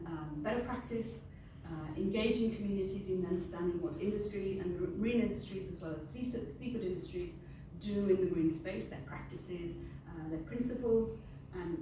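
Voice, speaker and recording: A middle-aged Welsh woman whose first language is Welsh, giving a friendly, unscripted talk to a group. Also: mid-range voice. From Wales. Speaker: industry panel speaker. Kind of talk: informal presentation to a group. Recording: very echoey room, distant mic, ambient noise.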